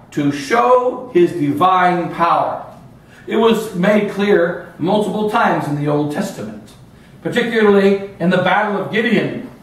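A middle-aged man preaching a sermon as a priest, emphatic, with pauses, in a small home chapel, recorded from a camera across the room. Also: sits high, fairly bright tone. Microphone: far camera. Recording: echoey room, from far off.

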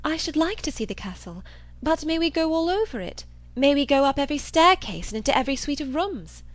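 A woman's voice: sits high, big pitch swings, fairly quick, dry recording, voice pushed up. A woman voicing a naive, earnest young woman, polite and questioning, in a storybook reading. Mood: eager, wistful.

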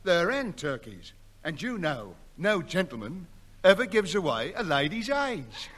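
An actor playing a cocky, crooked Cockney trader with chirpy slangy patter in a 1960s radio sitcom. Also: male voice, high voice, very animated, unhurried, noisy background.

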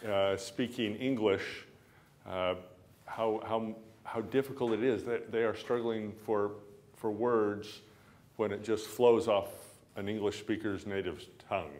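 A man's voice: fairly low pitch, steady tone, measured pace, a little mellow. An older man leading a university class in a classroom, talking casually and repeating words as he thinks aloud. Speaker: university instructor. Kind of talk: classroom lecture and discussion. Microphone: camera at the back of the room.

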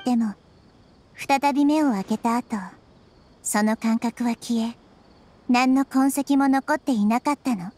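A woman voicing a gentle, wise child-like girl deity in a Japanese anime game, measured and reflective. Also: on the high side, slight background noise, lightly acted. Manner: measured, soft-spoken, reflective.

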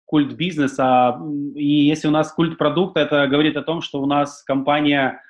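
A man hosting a casual interview, asking calm, measured questions. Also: on the high side, muffled recording. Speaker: interview host.

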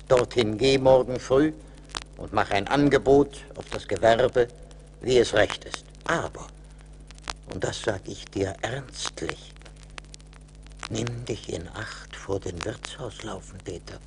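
An actor playing a stern, wise little forest spirit in a radio play, admonishing in a deliberate manner. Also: male voice, medium pitch, lightly acted. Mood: stern counsel. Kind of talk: 1980s German radio play acting. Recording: noisy vintage LP radio play.

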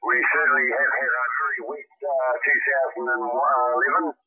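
A man's voice: medium pitch, steady tone, normal speed, noisy background. A man chatting casually as a radio operator, hesitant and polite, heard over a shortwave radio. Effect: shortwave radio transmission. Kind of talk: casual radio contact chat. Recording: muffled, band-limited shortwave radio signal.

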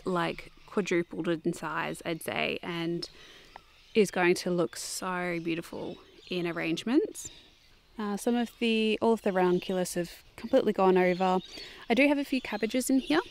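A woman's voice: medium pitch, slight background noise. Chatty woman outdoors in a garden. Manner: chatty, with fillers and restarts, occasional soft asides. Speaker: vlogger giving a garden tour. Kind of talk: casual walking garden tour.

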